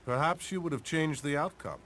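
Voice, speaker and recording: An actor playing a dignified, gracious army general in a video game cutscene, speaking formally. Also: male voice, on the high side, expressive, brisk, a bit bright. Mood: measured resolve.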